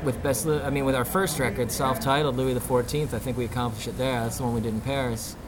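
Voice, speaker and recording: A man's voice: medium pitch, fairly even intonation, fast, background noise. A man who plays in a band, answering interview questions in a loose, rambling way full of fillers and "you know". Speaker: band musician.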